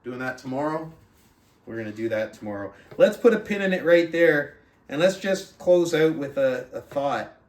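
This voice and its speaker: A man's voice: a little high, animated, normal speed. A man hosting a book club, reading aloud and giving motivational coaching talk, putting questions to his listeners. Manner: addresses listeners with rhetorical questions. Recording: roomy echo, distant from the mic.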